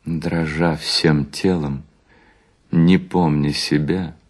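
A man reading a short story as an actor, in a theatrical, expressive and ironic storytelling manner. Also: very deep voice, extremely slow. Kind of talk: theatrical dramatic literary narration with acted dialogue.

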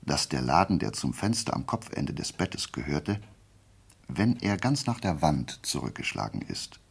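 A man acting in a radio play as a shrewd detective, explaining his deductions deliberately and didactically in a low, gravelly, husky voice. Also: big pitch swings, exaggerated voice. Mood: confident, explanatory. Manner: deliberate, didactic, checking in with short questions. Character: shrewd amateur detective methodically explaining a murder case.

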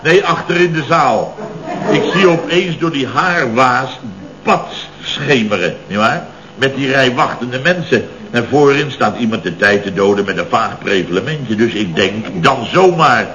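An actor playing a blustering, middle-aged Dutch building boss in a radio comedy, indignantly spinning out long, exasperated stories. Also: male voice, medium pitch, lively intonation, a little slow, a bit bright, slight background noise. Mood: exasperated storytelling. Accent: Netherlands Dutch. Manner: indignant, long-winded storytelling with exasperated emphasis. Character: blustering, put-upon male building contractor boss recounting mishaps. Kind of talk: 1970s radio comedy acting. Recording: dull old radio recording.

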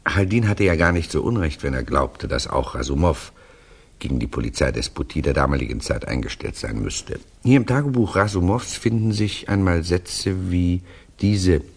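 A deep, husky, reflective narrator. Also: male voice, animated, exaggerated voice. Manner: measured, reflective, explanatory. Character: thoughtful male narrator explaining Russian souls to Westerners. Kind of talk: 1960s German radio drama, conversational narration.

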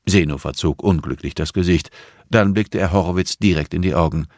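A man's voice: deep voice, fairly quick, no room sound, studio quality. A man reading scripted prose as the narrator of an audiobook.

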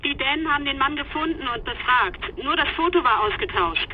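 An actress playing a matter-of-fact investigator in a radio drama, reporting findings in a brisk, factual way. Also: female voice, high-pitched, animated. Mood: factual, assured. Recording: dull lo-fi radio-like sound, clear noise.

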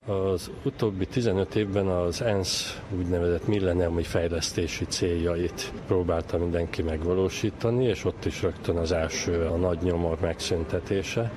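An older man explaining things earnestly and emphatically as an interviewee. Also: sits low. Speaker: charity foundation president, interviewee. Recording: clear outdoor traffic noise.

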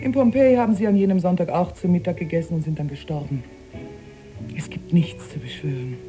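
An actress playing a sober, resentful wife with a dry, reproachful manner in a German radio play. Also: female voice, lowish voice, brisk, over music, close to an everyday voice, low-quality recording. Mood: cool, reproachful. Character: sober, cutting wife, resigned yet resentful.

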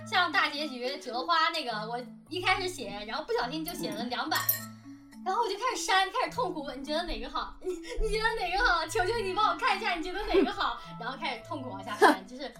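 High-pitched, animated young-sounding woman. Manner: animated, giggly, chatty. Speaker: audio drama planner, lyricist and songwriter. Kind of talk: casual chat, answering listener questions. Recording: distant mic at times, music behind. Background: music.

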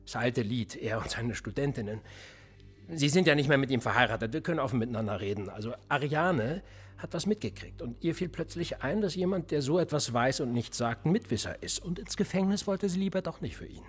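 A cynical husky cop. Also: male voice, mid-range voice, a little fast, soft background music. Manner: smug, needling, lively intonation. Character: cool, cynical male police interrogator. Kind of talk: radio drama acting.

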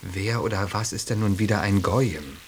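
A man's voice: on the low side, normal speed, lightly acted. An actor in a radio drama playing a rational, sceptical man with a dry, pointed way of questioning. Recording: faint tape hiss.

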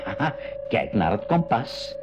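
A man's voice: lowish voice, sing-song, a little slow, music in the background. A man playing a worried, cautious older uncle, an inventor and pilot, in a school radio drama. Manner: cautious, fretful, explanatory. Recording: dull, noisy old radio-play recording.

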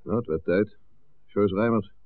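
A man's voice: lowish voice, a little slow, close to an everyday voice. A man acting a blunt, confident village conspirator, impatient and persuasive, in a 1960s radio play. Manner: blunt, insistent. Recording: muffled, narrow-band old radio recording.

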